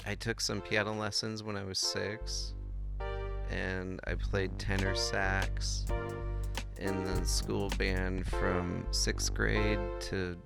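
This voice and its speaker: A man's voice: sits low, level tone, slow, gravelly, music in the background. A man in his forties from Washington State, rambling about his musical past and searching for words. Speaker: guitarist, interview guest. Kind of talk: casual interview chat.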